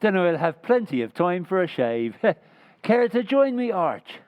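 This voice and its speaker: A man acting a dim-witted, cheerful barfly who talks slowly and dopily with chuckles, in an old-time radio comedy recreation. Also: sits high, big pitch swings, dull audio. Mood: dopey. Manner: slow, dopey with chuckles.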